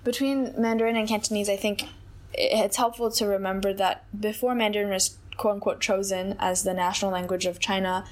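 A woman's voice: mid-range voice, fairly even intonation, fairly quick. A young woman from Hong Kong, an interview guest speaking in a reflective, conversational way with lots of hedging and filler words. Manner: thoughtful, many hedges and fillers like "like" and "I think".

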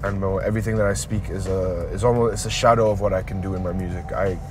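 A man's voice: low-pitched, steady tone, fast, faint music behind. A man of about twenty who grew up in Dubai, a rapper speaking reflectively and laughing often in a casual interview.

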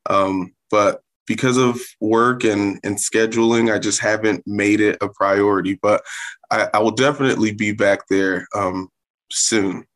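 Low-voiced man recalling his upbringing. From South Florida, United States. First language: Haitian Creole. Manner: relaxed, reflective, with frequent "um" pauses. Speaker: interview guest, Salvation Army worker. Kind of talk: casual interview conversation.

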